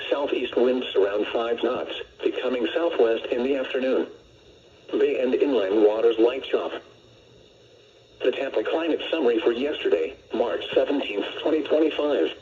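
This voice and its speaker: An American man's synthetic-sounding voice reading a scripted weather report as a radio announcer, measured and impersonal. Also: medium pitch. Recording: muffled lo-fi weather radio broadcast.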